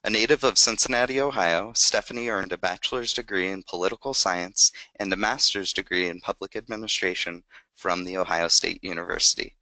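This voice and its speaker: Male webinar host, lowish, crisp voice, plain reading of a guest bio, dry sound. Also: brisk, pushed and bright, no echo, rough recording. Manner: plain, reads a guest introduction. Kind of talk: webinar hosting and introductions.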